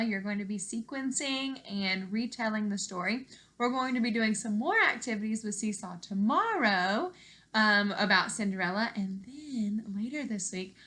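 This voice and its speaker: Bright, high-voiced woman teaching young children. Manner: animated, encouraging, simple questions addressed to children. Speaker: elementary school teacher. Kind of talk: expressive children's read-aloud and lesson talk to young pupils. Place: living room at home.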